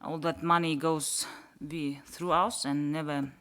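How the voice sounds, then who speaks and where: A man from Yakutia whose first language is Sakha, speaking haltingly as a panelist in a panel discussion and searching for words. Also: a little high, level tone, fairly bright tone, clean tone. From Yakutia, Russia.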